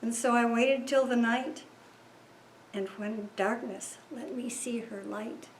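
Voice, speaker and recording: A woman reading a rhyming children's picture book aloud in a measured, rhythmic way. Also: on the high side, fairly even intonation, echoey room, slight background noise. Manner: slow, measured, rhythmic delivery. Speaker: story reader at a church service.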